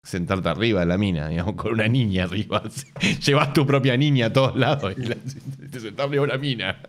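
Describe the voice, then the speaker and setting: A man's voice: on the low side, brisk. A man in his thirties with an Argentine accent, reading a post aloud on a home stream and breaking off for sarcastic, laughing asides. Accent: Argentine Spanish. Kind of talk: casual live-stream reading with mocking asides. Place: home room with bookshelves.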